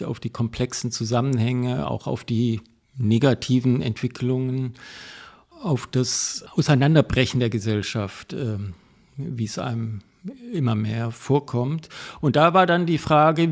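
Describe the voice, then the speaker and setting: A man, a theatre critic and podcast guest, speaking thoughtfully and pausing with many fillers. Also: mid-range voice. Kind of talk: podcast interview.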